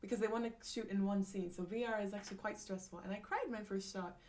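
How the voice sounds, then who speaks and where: A woman's voice: mid-range voice, steady tone, fast talker, a little mellow, a little hiss or hum. A young woman chatting casually on a live stream, reading out comments with lots of fillers, restarts and laughs. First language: not Japanese.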